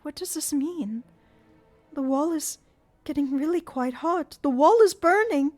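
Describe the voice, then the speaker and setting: Frightened young woman, gentle and pleading, pitched high, as a woman reader puts her on; faint music. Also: lively intonation, normal speed.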